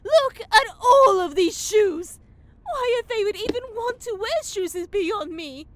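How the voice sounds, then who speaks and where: A woman's voice: extremely high pitch, lively intonation, a little slow, dry recording. A woman playing a prim, knowing forest fairy, theatrical and disapproving, in a fantasy comedy audio drama.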